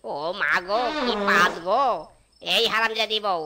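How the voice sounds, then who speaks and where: A woman's voice: mid-range voice, unhurried, crowd noise, lightly acted. A woman voicing a comic henpecked animal husband in a cartoon, with theatrical, singsong delivery. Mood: exasperated. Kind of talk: cartoon story acting. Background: music.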